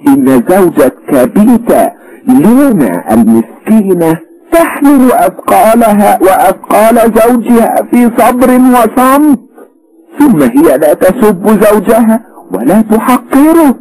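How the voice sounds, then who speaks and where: A man voicing an anguished older mother in a high wailing falsetto, scolding and prying. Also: big pitch swings, unhurried, a little mellow, rough recording. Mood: lecturing.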